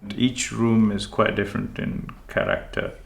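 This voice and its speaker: A man from Sri Lanka, a homeowner, talking in a slow, thoughtful and hesitant way about his house. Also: on the low side, wildly swooping pitch, gravelly. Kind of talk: reflective conversational chat.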